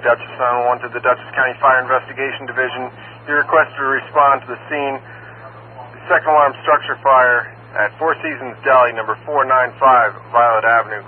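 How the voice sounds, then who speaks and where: Over a very narrow scanner radio channel with some noise, a man gives formal fire dispatch announcements. His voice sits at a middle pitch and he speaks in set, procedural phrases. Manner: procedural, repeats addresses and numbers in set phrases. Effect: two-way radio transmission. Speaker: county emergency fire dispatcher.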